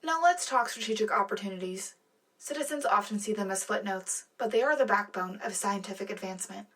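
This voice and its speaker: A woman acting as a poised news co-anchor on wartime space radio. Her mid-pitched voice delivers scripted bulletins with earnest, polished announcer phrasing. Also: a little fast, a bit bright, lightly acted. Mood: poised, rousing. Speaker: radio show co-host. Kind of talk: scripted broadcast news narration.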